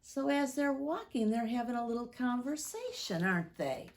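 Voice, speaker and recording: A woman, speaking deliberately and expressively to young children as a story-time teacher. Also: a little high, very animated, a little hiss or hum. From United States, Midwest.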